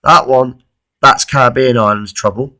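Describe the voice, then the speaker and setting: A man of thirty-one, losing his voice with a cold, gives an informal, halting weather forecast commentary and often repeats himself. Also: mid-range voice, steady tone, pushed and bright. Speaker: amateur weather vlogger.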